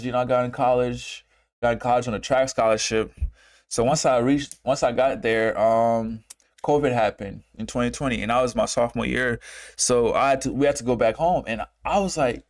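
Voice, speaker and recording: Young man, mid-pitched voice, casual streamer monologue, halting delivery, repeats himself, wanders and circles back. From Uganda, moved to America as a child. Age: 20s. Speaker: trading streamer and host.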